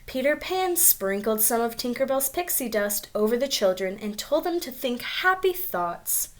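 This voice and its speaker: A woman's voice: a little high, expressive, moderate pace. A woman reading a storybook aloud to young children, expressively, with little asides about the pictures. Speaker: storybook reader.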